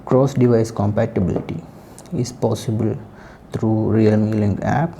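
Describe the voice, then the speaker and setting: A man giving a casual, unscripted product review in halting, short phrases. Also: lowish voice, fairly even intonation, dark tone, slight background noise.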